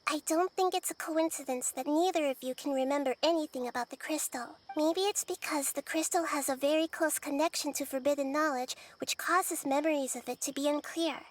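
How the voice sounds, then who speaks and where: A woman playing a wise, childlike young goddess in a video game, gentle, composed and thoughtful. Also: high-pitched, fairly quick, hardly any pauses, lightly acted. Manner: thoughtful, reassuring, explanatory. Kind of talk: scripted game dialogue.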